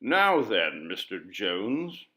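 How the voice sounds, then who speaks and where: A man voicing a curious, theatrical gentleman visitor in an audiobook ghost story. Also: lowish voice, big pitch swings, very slow, exaggerated voice. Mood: bold. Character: Strammer, curious, brisk gentleman visitor probing a haunted house. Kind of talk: audiobook character dialogue.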